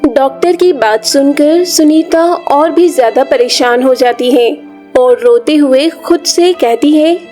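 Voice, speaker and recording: Female narrator for animated children's folk tales, high register, storytelling read over faint background music. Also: slow.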